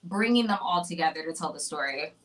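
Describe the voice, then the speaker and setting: A woman hosting a podcast in casual chat, animated and blunt, swearing freely. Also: low-pitched, quick pace, rough recording. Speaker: podcast host.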